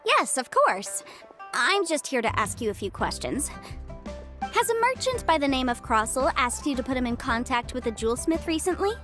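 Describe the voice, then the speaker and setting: A woman's voice: sits high, sing-song, moderate pace, fairly bright tone. A woman playing a confident, breezy young legal advisor in a video game, speaking articulately. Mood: confident, businesslike. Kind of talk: video game character dialogue. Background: game music.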